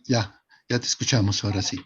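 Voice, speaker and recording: Low raspy guy moderating, dull lo-fi call sound. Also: steady tone, fairly quick. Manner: brief acknowledgements. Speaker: conference moderator. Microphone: video call. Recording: muffled lo-fi call audio.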